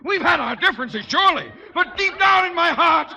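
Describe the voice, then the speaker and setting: A man's voice: very high voice, expressive, brisk, gravelly, exaggerated voice. Blustering, pompous father in noisy old radio. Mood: hysterical panic. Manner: pompous, theatrical, exasperated. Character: blustering, easily panicked, pompous middle-aged father and boss. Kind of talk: 1940s radio comedy acting. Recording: noisy old radio recording, hall reverb.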